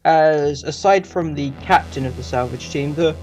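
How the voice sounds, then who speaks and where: A man's voice: fairly high pitch, normal speed, a little mellow, faint music behind, rough recording. A man hosting a review channel, reading a scripted review.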